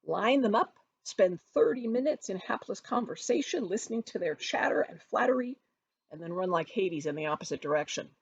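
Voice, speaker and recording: A woman reading her novel aloud as expressive storybook narration, with dramatic, emphatic phrasing. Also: medium pitch, a little fast, creaky, slightly rough, dull audio. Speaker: author reading from their own novel.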